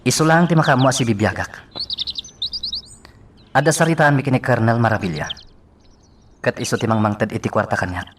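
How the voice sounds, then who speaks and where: A man's voice: medium pitch, a little fast, long pauses, lightly acted. A man with an Ilocano accent playing an earnest young man on a secret mission in a radio drama. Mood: earnest, troubled. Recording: distant mic, noisy, birdsong behind.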